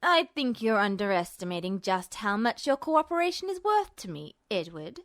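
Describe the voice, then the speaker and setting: A woman's voice: mid-range voice, very animated, dry recording, studio quality, voice pushed down. A woman voicing a cold, sadistic man, a smug villain who taunts and threatens in an audiobook story. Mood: cold, menacing. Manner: taunting, softly drawn-out threats.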